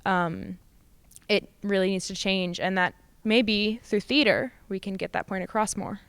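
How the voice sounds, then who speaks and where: A young woman in her late teens gives an earnest, informal interview answer as a student actor. Her voice is exceptionally clean and resonant at a medium pitch, in a dry recording. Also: steady tone, measured pace, fairly bright tone, smooth, dry recording.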